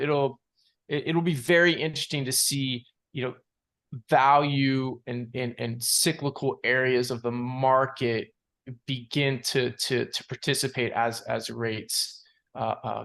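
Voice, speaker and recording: A man giving unscripted analysis as a market analyst, in a halting way, repeating words and padding with "you know". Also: mid-range voice, fairly even intonation, creaky, fairly bright tone. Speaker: market analyst presenting charts. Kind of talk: unscripted financial chart commentary.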